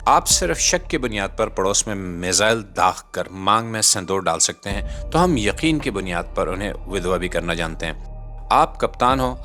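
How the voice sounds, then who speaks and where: A Pakistani man with a fairly low voice reads a scripted satirical commentary. He is sarcastic and rhetorical, with music playing faintly underneath. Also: a bit bright. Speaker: satirical commentator, channel host.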